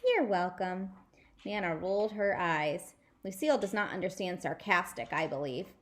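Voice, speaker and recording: A woman reading a children's story aloud in an expressive, animated storyteller manner. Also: on the low side, normal speed. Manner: animated, acts out dialogue. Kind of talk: expressive children's chapter book read-aloud.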